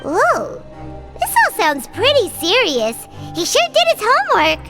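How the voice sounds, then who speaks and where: A woman voicing an excitable, childlike little fairy companion in a video game, squeaky and full of exclamations. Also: unhurried, music in the background, heavily acted. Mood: inquisitive. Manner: speaks of herself in the third person, exclaiming. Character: small childlike floating fairy companion, excitable, food-loving. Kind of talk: video game character dialogue.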